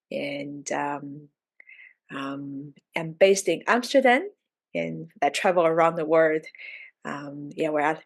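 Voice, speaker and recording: A woman's voice: low-pitched, creaky. A woman co-hosting a video-call panel, introducing herself in a hesitant way with many fillers. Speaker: panel co-host. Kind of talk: casual self-introduction.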